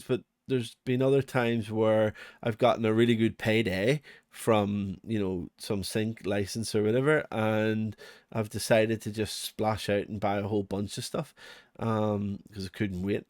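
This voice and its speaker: A man's voice: fairly low pitch, darkish tone, dry recording, clean studio sound. A man with a Northern Irish accent giving a casual, unscripted walkthrough as a tutorial host, chatty, rambling and self-deprecating. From Northern Ireland. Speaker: music production channel host.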